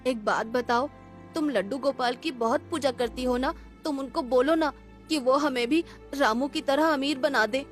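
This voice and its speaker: A woman's voice: high-pitched, normal speed, faint music behind, lightly acted. A woman voicing an innocent, downcast poor little boy in a cartoon story, subdued, earnest and childlike. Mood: hopeful.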